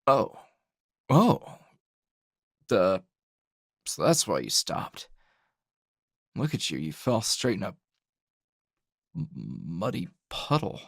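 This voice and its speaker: A man playing a gruff, flirtatious male anime hero in an intimate ASMR roleplay, gravelly and husky, teasing and halting. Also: fairly low pitch, huge pitch swings, no echo, clean studio sound, exaggerated voice. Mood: smug, amused. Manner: slow, teasing, halting with sighs and chuckles.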